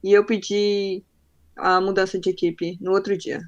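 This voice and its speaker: A young adult woman from northern Brazil whose accent has faded but still shows faintly. Her voice sits at a middle pitch, and she talks frankly as a guest in a casual podcast interview. She brings her stories to life by acting out what each person said. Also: moderate pace, lo-fi sound. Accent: faint northern Brazilian Portuguese. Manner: frank, animated storyteller acting out quoted dialogue. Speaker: podcast guest, marketing professional.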